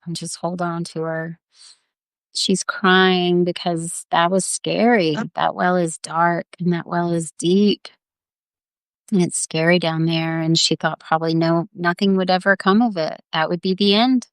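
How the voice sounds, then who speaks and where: A woman's voice: fairly low pitch, fairly even intonation, unhurried, clean tone, no echo. A woman leading a guided visualization in a tender, earnest, coaxing way, improvising as she goes and repeating her phrases. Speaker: guided meditation leader.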